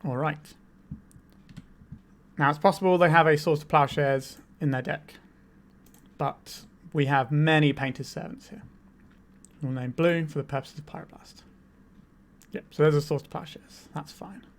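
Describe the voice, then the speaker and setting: A man's voice: on the high side, steady tone, measured pace, pauses often. A man with a cold, a bit tired, casually explaining a card game deck and thinking aloud as he plays. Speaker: channel host, card game player. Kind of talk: casual gameplay commentary.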